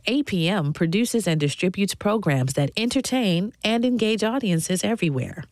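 A woman's voice: medium pitch, expressive, normal speed, no echo. A woman reading scripted sponsor messages as an announcer. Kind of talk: scripted ad read.

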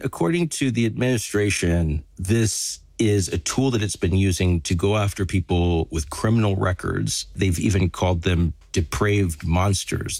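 A man working as a reporter, explaining a story in a measured, conversational news podcast style. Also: low voice, a little mellow, no echo. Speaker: reporter telling an investigated story to a host.

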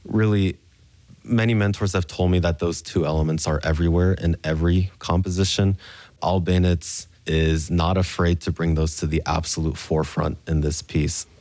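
A man from the southern United States giving thoughtful, measured and articulate interview answers as a musician. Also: low voice, steady tone, darkish tone, no room sound. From Memphis, Tennessee, United States. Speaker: concert pianist being interviewed.

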